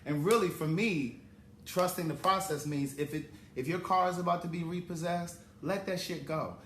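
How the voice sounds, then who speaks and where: A man's voice: a little high, moderate pace, fairly bright tone, echoey room, a little hiss or hum. Reflective man in his forties. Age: 40s. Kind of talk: casual talk show chat.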